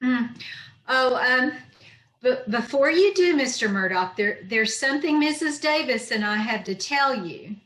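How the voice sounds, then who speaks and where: A woman playing a wry, bossy younger woman who is easily smitten, in old time radio comedy style with deliberate delivery. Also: sits high, expressive, fairly bright tone. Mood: smitten, flustered. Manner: dry, deliberate, stammering when flustered. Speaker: amateur radio troupe actor. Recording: roomy echo on video call.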